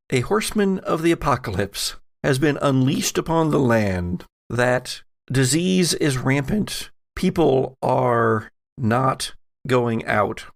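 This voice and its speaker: A man narrating a tabletop roleplaying game as game master, deliberate and given to dramatic pauses. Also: medium pitch, vocal fry.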